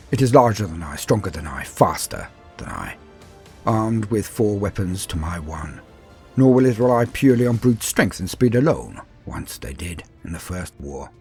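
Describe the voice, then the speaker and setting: A proud, calculating battle lord, voiced by a man tells his war tale over a music bed. His voice sits a little low and moves widely in pitch. The phrasing is solemn, deliberate and theatrical, dropping into hushed asides as in an audio storybook. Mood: tense, wary. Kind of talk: dramatic first-person story narration.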